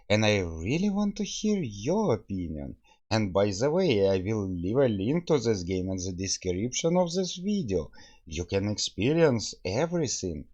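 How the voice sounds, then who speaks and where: A man's voice: on the low side, a little mellow, dull audio. A man hosting a game video, commenting on play and addressing his viewers directly. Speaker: host of a game review video.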